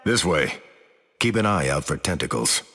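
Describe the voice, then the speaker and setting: A steady, husky team leader. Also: male voice, extremely low pitch, normal speed, in a large hall, exaggerated voice. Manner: calm, earnest, commanding. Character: steady, upright male veteran team leader. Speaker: video game hero.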